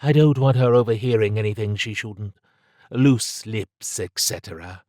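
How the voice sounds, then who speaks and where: A man's voice: fairly low pitch, moderate pace, a little mellow, dry recording, studio quality, voice pushed up, natural voice. A man voicing a blunt, guarded woman agent. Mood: edgy. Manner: blunt, pressing, clipped.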